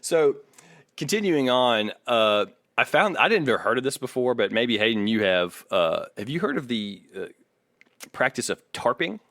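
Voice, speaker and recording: A man co-hosting a podcast, talking casually in a measured, hesitant way and sometimes reading aloud. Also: medium pitch, big pitch swings, fairly bright tone, no room sound, clean studio sound. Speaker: podcast co-host.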